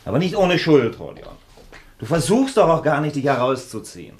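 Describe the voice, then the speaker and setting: An actor in a radio drama playing a practical young friend, blunt and reproachful out of exasperated concern. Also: male voice, on the high side, sing-song, a little fast, slight background noise. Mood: teasing, reproachful. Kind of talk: German radio drama acting.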